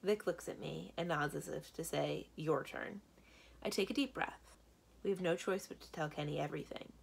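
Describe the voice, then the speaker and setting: Gravelly, low-voiced woman reading a children's book. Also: fairly quick, slight background noise. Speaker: library story reader. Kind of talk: expressive children's book narration.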